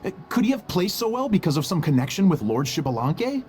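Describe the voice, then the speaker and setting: A man playing an excitable, incredulous young male friend in a video game, casual and plain-spoken. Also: sits high, brisk. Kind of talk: video game character acting.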